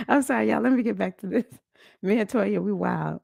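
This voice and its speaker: A woman, hosting a casual livestream about astrology, chatty and animated, laughing often and greeting viewers by name. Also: mid-range voice, a little slow, creaky, dry recording, clean studio sound. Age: 50s. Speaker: livestream host.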